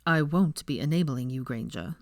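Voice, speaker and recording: A woman voicing a gruff, sardonic, teasing young man in a dry, smirking way for a story reading. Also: low-pitched, normal speed, no echo. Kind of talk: fan fiction audiobook character voice.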